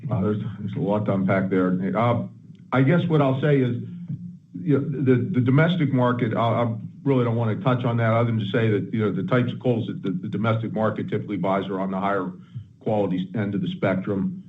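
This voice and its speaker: A man speaking as a company executive on an earnings call, answering in a measured, guarded, halting way. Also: lowish voice, steady tone. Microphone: telephone conference line. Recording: narrow, tinny conference phone line.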